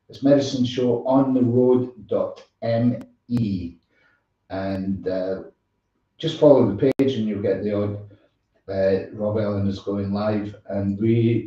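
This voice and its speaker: A man hosting a music show live, chatting casually in a rambling, halting way. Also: medium pitch, dark tone. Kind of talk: casual live sign-off chat. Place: room with echo, away from the mic. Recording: roomy, distant, dull-sounding microphone.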